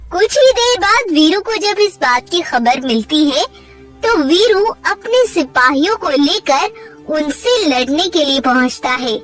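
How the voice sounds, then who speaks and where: A woman narrating a scripted children's story in an expressive storytelling manner. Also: very high voice. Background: background music.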